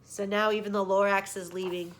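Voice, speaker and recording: A woman's voice: medium pitch, little pitch movement, brisk, slight background noise. A woman reading a children's story expressively, with emphatic, teacherly phrasing aimed at young listeners.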